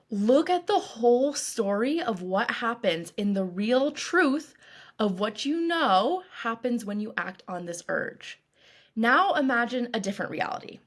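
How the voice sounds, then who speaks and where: A woman coach leading a guided meditation in a calm, reassuring, instructive way, with deliberate phrasing. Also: fairly high pitch. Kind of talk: guided meditation, scripted narration.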